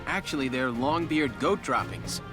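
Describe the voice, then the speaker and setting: An actor playing an easygoing, studious young squad leader in a game, explaining things calmly. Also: male voice, mid-range voice, normal speed, music in the background, lightly acted. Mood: calm, engaged. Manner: calm, explanatory.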